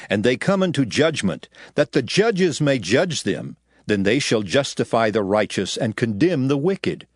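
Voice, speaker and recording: A man's voice: lowish voice, dry recording, clean studio sound. A man playing a solemn prophet and lawgiver, declaiming commandments in archaic phrasing for a dramatized audio Bible. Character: Moses, solemn male lawgiver addressing his people. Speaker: scripture reader in an audio Bible. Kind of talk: dramatized scripture reading. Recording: music under the voice. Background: music.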